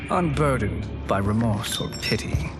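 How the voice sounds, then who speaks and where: An actor playing a scheming male sorcerer in a video game, speaking in a persuasive, deliberate, formal way. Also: medium pitch, animated, lightly acted. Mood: proud, explanatory. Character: smooth-talking scheming male sorcerer offering dark bargains. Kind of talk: cinematic video game acting. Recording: loud music behind.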